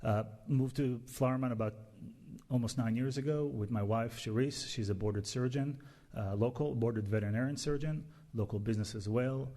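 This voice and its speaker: A man from Israel, giving an informal, somewhat halting opening statement as a candidate. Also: medium pitch, warm tone. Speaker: mayoral candidate.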